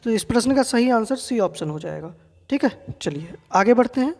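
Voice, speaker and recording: A woman's voice: a little high, lively intonation, normal speed, a little mellow, faint music behind. A woman with an Indian accent teaching an exam quiz lesson, didactically repeating key facts and posing questions to her students. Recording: long reverberant hall-like echo.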